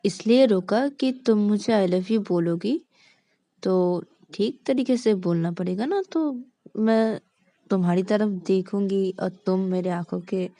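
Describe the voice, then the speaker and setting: A woman's voice: medium pitch, warm tone, dry recording. A woman voicing a playful, reckless young woman driver who teases her friend. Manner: playful, coaxing, cocky.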